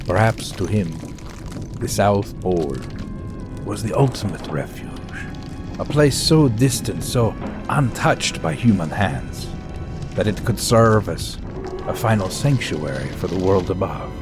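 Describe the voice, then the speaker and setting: A grave, brooding professor tells of a voyage in a low voice with wide, expressive swings. The delivery is deliberate and literary, as in an audio drama, with music playing underneath. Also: male voice. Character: grave, thoughtful professor narrating his voyage, anxious when pleading. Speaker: narrator and lead character.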